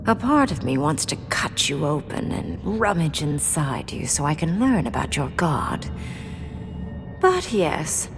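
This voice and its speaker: A woman playing a coldly pragmatic, curious archmage in a video game, speaking in a measured, aloof manner. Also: low voice, big pitch swings, over music, heavily acted. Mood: intrigued.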